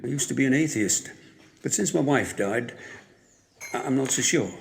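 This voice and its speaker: A man's voice: medium pitch, expressive, normal speed, vocal fry, slight background noise. An actor playing a wry, guarded widower in his sixties with dry, curt, formal delivery in an audio drama. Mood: guarded, wistful. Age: 60s. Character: gruff, wry 67-year-old widower, guarded and grieving.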